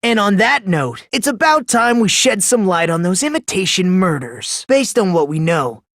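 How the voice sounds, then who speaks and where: Casting: polite, unsettlingly excitable teenage boy for a mystery game; breathy gushing and soft musing, highly varied intonation, dry studio sound. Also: normal speed, no room sound. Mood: calm musing. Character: polite, self-loathing, eerily excitable teenage boy sleuth. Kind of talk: English video game voice acting.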